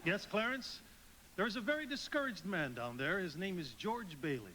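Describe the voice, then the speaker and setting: An actor playing a kindly, authoritative head angel, instructive and avuncular, in a live radio drama. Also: male voice, high voice, expressive, moderate pace, sharp tone, slight background noise, poor audio. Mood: kindly authority. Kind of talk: live radio play acting.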